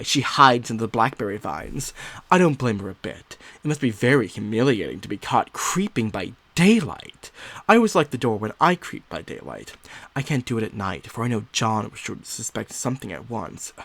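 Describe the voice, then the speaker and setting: A man playing an unsettled, secretive nineteenth-century woman diarist growing obsessed, in a theatrical, confiding dramatic monologue with drawn-out emphasis. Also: medium pitch, no echo. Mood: conspiratorial, obsessed. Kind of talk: dramatic first-person monologue, audio theatre.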